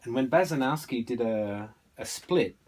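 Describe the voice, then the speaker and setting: A middle-aged man reminiscing casually in an unscripted way, often halting and searching for his words. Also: medium pitch, lively intonation, darkish tone. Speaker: storyteller showing family photo archive.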